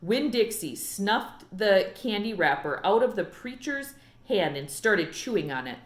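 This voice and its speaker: Expressive woman teacher reading aloud, echoey room. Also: medium pitch, a little slow. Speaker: elementary teacher. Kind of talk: expressive storybook read-aloud narration.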